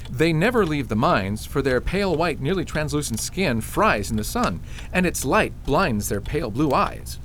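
Man playing an animated, plain-spoken old father. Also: a little high, a little hiss or hum. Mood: frank, firm. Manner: matter-of-fact, advising, emphatic. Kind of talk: fantasy radio drama acting.

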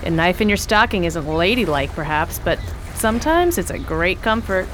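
A woman acts out a worldly, hard-bitten actress in a radio play. She talks bluntly in rough slang, bossy yet protective. The recording has clear noise running behind her voice. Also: lowish voice, sing-song, normal speed. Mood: knowing, chatty. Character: worldly, tough-talking coastal actress, brash and protective. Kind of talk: radio drama acting.

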